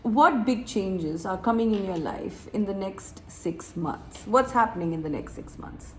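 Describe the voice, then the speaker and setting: Hoarse, slow-talking woman reading tarot. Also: mid-range voice, lively intonation, slight background noise, poor audio. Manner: chatty, laughs often, clears throat often. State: hoarse, recovering from a cold. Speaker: tarot reader. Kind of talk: casual tarot reading to viewers. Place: room with door open, wind chimes outside.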